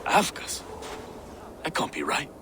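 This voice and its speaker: An actor playing an earnest, devout and sorrowful city official in a video game, reflective and expressive. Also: male voice, takes it slowly, close to an everyday voice. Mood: saddened. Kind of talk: dramatic video game acting. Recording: clear background noise, ambience.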